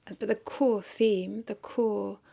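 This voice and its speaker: A woman's voice: medium pitch, fairly even intonation, slow pace, gravelly, dark tone. A woman talking casually in an unscripted vlog, rambling and correcting herself as she thinks aloud. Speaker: content creator, vlogger.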